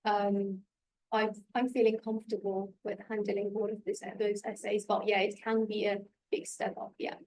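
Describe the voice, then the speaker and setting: A woman's voice: mid-range voice, little pitch movement, normal speed, a little mellow, poor audio. A woman on a student panel, speaking hesitantly with "um" and "like". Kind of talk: casual panel discussion.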